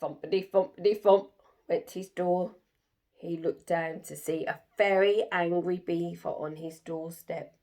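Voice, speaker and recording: A woman's voice: on the low side, fairly even intonation, measured pace, mellow. A woman storyteller reading a children's picture book aloud with expression.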